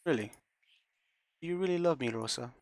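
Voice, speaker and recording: A man reading as a manipulative young man who speaks softly and coaxingly. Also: fairly high pitch, brisk, natural voice.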